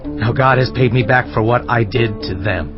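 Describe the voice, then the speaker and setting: Casting: male actor as beaten king, grave and resigned, medium pitch, dramatized scripture, music underneath. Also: moderate pace, close to an everyday voice. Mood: grimly resigned. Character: defeated captive king, grimly resigned. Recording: dull lo-fi, music behind.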